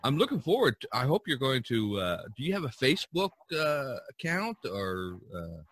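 A man hosts a casual podcast chat in a bright, forward voice of average pitch. The sound is dry, with little room in it. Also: big pitch swings, moderate pace, dry recording. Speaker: podcast host. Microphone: video call.

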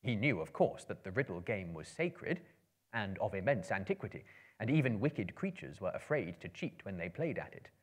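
Low-voiced man, very lively storytelling. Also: normal speed, breathy edge. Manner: theatrical, expressive. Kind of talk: dramatic book reading to a live audience. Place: indoor room with bookshelves, small live audience.

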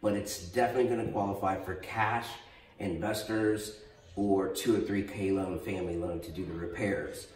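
An American man in his forties giving an informal sales pitch to camera as a real estate agent. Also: steady tone, moderate pace, a little mellow. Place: bare stairwell room in an empty house. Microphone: camera a few feet away. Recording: echoey empty room, slightly distant mic.

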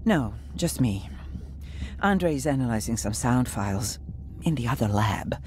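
A woman playing a reserved scientist in a video game, speaking in a measured, understated way. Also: rumbling low, expressive, darkish tone, a little hiss or hum. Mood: reserved, friendly. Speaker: research colleague. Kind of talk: naturalistic video game voice acting.

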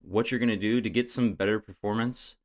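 Low-voiced casual man walking through setup. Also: steady tone, brisk. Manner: casual, step-by-step, with fillers like "uh". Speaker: tech tutorial host. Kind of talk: screen-recorded software tutorial.

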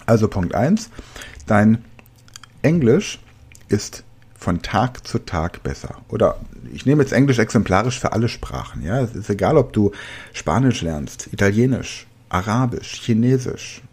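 A man's voice: lowish voice, expressive, a little slow, a little mellow, husky. A man in his forties hosting a solo podcast, coaching listeners directly in a conversational way with casual asides. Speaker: podcast host and language trainer.

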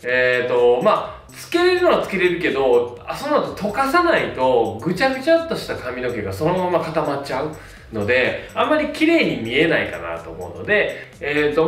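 A man in his thirties, a hairstylist, casually reviewing hair products with a relaxed, filler-heavy manner. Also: a little high, far from the mic. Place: small plain indoor studio. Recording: roomy sound, mic set back, faint music.

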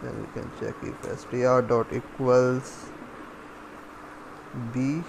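A man giving a coding screencast tutorial, dictating step by step in short, halting phrases. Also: medium pitch, rough recording. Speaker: programming tutorial instructor. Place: voice-over for a screen recording. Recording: clear steady background noise.

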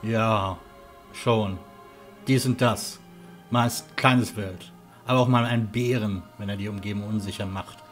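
A man's voice: on the low side, fairly even intonation, measured pace, faint music behind, close to an everyday voice. A man playing a hospitable, slightly flustered villager in a fantasy audio drama, hesitant and apologetic. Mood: welcoming.

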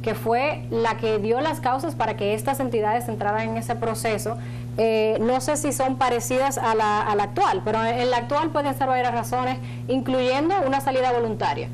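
A woman in her thirties explaining procedures as a studio guest, in halting phrases with repeated words. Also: on the high side, a little mellow, faint music behind, low-quality recording. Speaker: financial agency spokeswoman, studio guest. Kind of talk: explanatory interview talk. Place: small indoor TV studio.

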